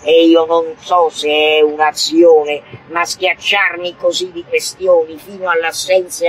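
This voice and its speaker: A man declaiming a theatrical text in a forceful, often loud delivery. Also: on the high side, breathy edge, poor audio. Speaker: actor reciting a monologue. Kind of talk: theatrical recitation.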